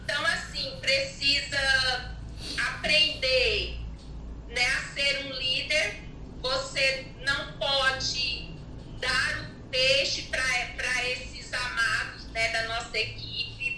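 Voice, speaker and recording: A woman from Alagoas in Brazil, possibly, speaking slowly and haltingly with many pauses as a guest in a casual interview. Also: sits high, bright, forceful tone, a little hiss or hum. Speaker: network marketing participant, guest. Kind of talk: hesitant conversational testimony. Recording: echoey distant mic with faint ambience.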